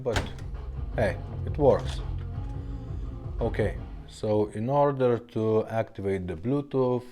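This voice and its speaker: Slow-spoken, low-voiced man demonstrating gear. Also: dark tone. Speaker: vintage car radio repairer presenting a demo. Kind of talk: casual product demonstration.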